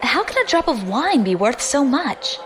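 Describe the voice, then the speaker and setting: Wry, defiant young woman with lively pitch. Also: normal speed, a crowd in the background. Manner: wry, guarded. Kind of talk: audio drama acting.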